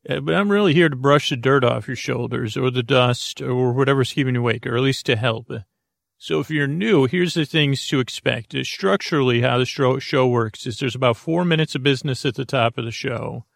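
A man's voice: medium pitch, fairly quick, creaky, dry recording, studio quality. A man hosting a sleep podcast, talking in a meandering, self-interrupting way with wry asides. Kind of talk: rambling bedtime podcast intro.

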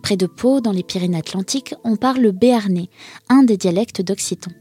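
A woman reading a scripted news narration in a measured, informative manner. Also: medium pitch, mellow, slightly rough. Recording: voice-over with faint music.

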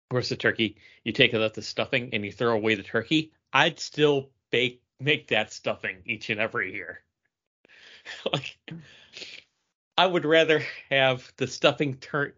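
A man's voice: on the low side, pushed and bright. A man co-hosting a podcast, chatting casually and making his points emphatically, step by step. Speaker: podcast co-host. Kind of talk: casual conversational chat. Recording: dull, lo-fi audio.

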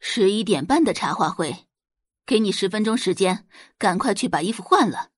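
Irritable mother for audio drama, performed by a woman: huffy, scolding, contemptuous, slightly husky, widely swinging intonation. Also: medium pitch, lively intonation, normal speed, no room sound, studio quality. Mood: impatient.

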